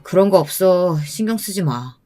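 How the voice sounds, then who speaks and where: A woman acts out a weary newlywed husband in an audio story. He is curt and evasive, brushing questions off, with soft music behind. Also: fairly low pitch, expressive, moderate pace, lightly acted. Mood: curt, irritated. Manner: curt, dismissive.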